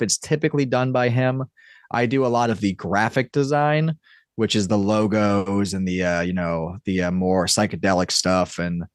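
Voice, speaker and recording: A man with a fairly low voice speaks casually as a podcast guest. He thinks aloud in a rambling, halting way, circling back on his phrases. The sound is dry, with very little room. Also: no room sound. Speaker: podcast guest, audio drama creator. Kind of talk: casual interview chat.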